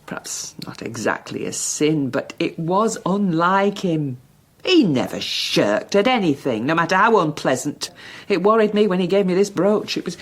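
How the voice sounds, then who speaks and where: An actress playing a grieving, bewildered Englishwoman in a period radio drama, speaking in an agitated, halting, questioning way. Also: medium pitch. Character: grieving, bewildered Englishwoman in early twentieth-century England. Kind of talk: BBC radio drama acting.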